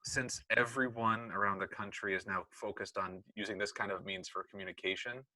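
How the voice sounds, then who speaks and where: A man's voice: fairly low pitch, steady tone, a little fast, bright, forceful tone, dry recording, poor audio. A middle-aged American man chatting in a work meeting on a video call, explaining things and often correcting himself. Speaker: meeting leader, school technology administrator. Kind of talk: casual work meeting chat.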